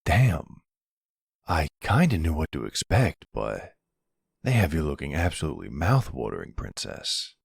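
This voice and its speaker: A man's voice: extremely low pitch, wildly swooping pitch, measured pace, creaky, slightly rough, no echo, full character voice. A man playing a flirty, sardonic male vampire in an ASMR roleplay drama, teasing his rival with smug, dry sarcasm. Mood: mocking, sultry.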